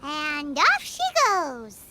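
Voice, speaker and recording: A woman voicing a tiny, chirpy fairy girl companion in a video game, with a squeaky childlike voice and a perky, boastful manner. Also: very animated, drawn-out words, heavy background noise, exaggerated voice. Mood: curious. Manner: perky, refers to self in third person. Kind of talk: video game character dialogue.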